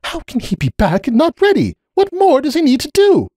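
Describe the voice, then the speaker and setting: A man's voice: very high-pitched, wildly swooping pitch, fairly quick, a little mellow, no echo, studio quality, voice pushed up, heavily acted. A man voicing an anxious, desperate female pony, a fretful mother, in a dramatic story reading. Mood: distraught, demanding. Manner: pleading, fretful.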